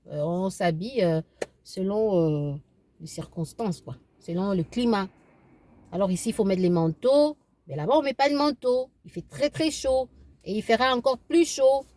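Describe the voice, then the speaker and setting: A woman's voice: medium pitch, a little mellow. A woman in her thirties from eastern Congo, whose first language is Kibembe, talking casually to camera from inside her car, repeating herself and restarting with many fillers. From eastern Congo (DRC). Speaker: vlogger. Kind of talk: casual opinion vlog.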